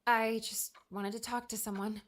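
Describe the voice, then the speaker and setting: A woman's voice: on the high side, level tone, moderate pace, natural voice. An actress playing a timid, sad and curious young woman, speaking hesitantly in an audiobook drama. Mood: timid, tentative.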